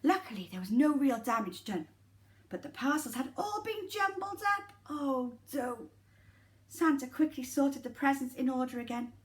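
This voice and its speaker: High voiced lady reading a story to little kids, super lively and dramatic, chats to them. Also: normal speed, low-quality recording. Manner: animated, dramatic, addresses children directly. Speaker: nursery teacher reading a story. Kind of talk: expressive children's storytelling with asides to listeners.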